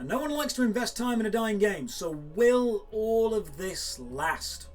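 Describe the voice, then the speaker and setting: A man in his thirties giving a scripted review to camera, talking in a measured, candid and direct way. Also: sits high. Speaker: video game reviewer. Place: indoors in front of a green screen. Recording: faint music under the voice.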